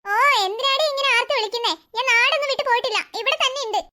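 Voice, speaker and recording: A woman's voice: very high voice, racing, bright, forceful tone. A woman voicing a busy mother who scolds and calls out impatiently from the kitchen.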